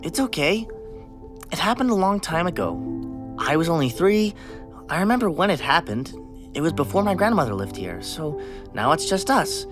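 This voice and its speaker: Eager kid sidekick, swooping pitch. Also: female voice, moderate pace, long pauses, music in the background. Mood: plain, subdued. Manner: excitable, candid, naive. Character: eager, soft-hearted middle-school sidekick, easily delighted. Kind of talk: scripted audio drama acting.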